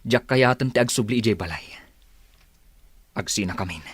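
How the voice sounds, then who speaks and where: A man's voice: lowish voice, moderate pace, slightly rough, dry recording, studio quality. A man with an Ilocano accent playing a fed-up, stubborn husband in a radio drama. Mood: weary, resolute. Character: fed-up husband, weary and stubborn, confiding in mother.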